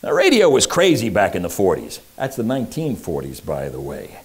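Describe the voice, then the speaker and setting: An actor playing a slick, wisecracking 1940s radio private eye, pitching in brash, slangy tough-guy patter. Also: wildly swooping pitch, brisk, darkish tone. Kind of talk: hard-boiled promo narration in old-time radio style. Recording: music under the voice. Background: music.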